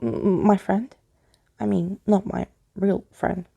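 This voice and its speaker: A woman's voice: mid-range voice, steady tone, slow, creaky, mellow, slightly rough, lo-fi sound, exaggerated voice. A woman voicing a timid, bewildered young boy in a storybook, speaking hesitantly. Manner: hesitant, stammering.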